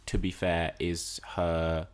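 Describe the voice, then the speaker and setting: A man with a low-pitched voice co-hosts a podcast. He speaks casually, weighing things up in a reflective, analytical way as he discusses screen entertainment. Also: completely flat delivery, slow pace. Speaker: podcast co-host.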